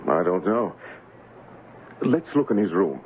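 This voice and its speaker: An actor playing an earnest, courteous gentleman posing as a king, in formal 1970s radio drama style. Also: low voice, big pitch swings, measured pace. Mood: worried. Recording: muffled 1970s radio broadcast, loud noise.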